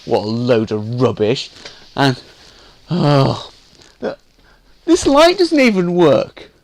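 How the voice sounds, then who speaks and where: A man's voice: mid-range voice, big pitch swings, slow, darkish tone. A man playing a grumpy, put-upon correspondent in a comedy sketch, whining and complaining. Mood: grumpy, exasperated. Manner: whiny, sighing, complaining. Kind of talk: comedy sketch piece to camera.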